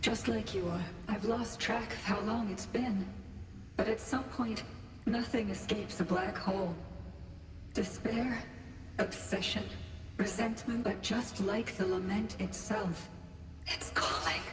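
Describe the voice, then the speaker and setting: An actress playing a sorrowful lost soul in a video game, with a solemn, slow and haunting manner. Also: female voice, medium pitch, natural voice. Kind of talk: video game cutscene voice acting. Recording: echoey cavernous reverb, distant.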